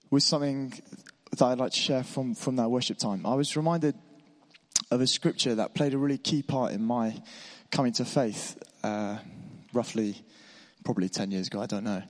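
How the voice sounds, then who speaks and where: A man preaching a sermon in church, encouraging and informal, his voice a little hoarse from being unwell. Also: mid-range voice, moderate pace. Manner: warm, encouraging, informal with asides. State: slightly hoarse voice. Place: church hall. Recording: long hall reverb.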